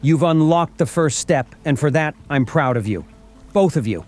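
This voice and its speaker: An actor playing an earnest, regretful uncle in an audio drama, speaking solemnly and tenderly with deliberate pauses. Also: male voice, fairly high pitch, expressive, darkish tone, soft background music, close to an everyday voice. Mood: grave, proud. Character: earnest, regretful uncle leaving a last message.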